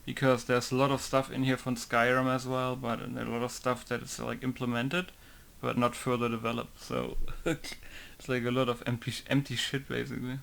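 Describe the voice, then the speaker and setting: A man's voice: mid-range voice, completely flat delivery, moderate pace, creaky, fairly bright tone. A man casually talking through a game modding tutorial in an informal, rambling way, swearing now and then. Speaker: game modder.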